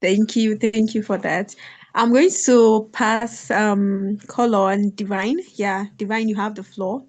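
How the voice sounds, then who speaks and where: Lady hosting an online event, informal, middle-pitched voice, passing the floor around. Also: steady tone, slow, darkish tone. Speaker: host moderating an online graduation event. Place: online video call.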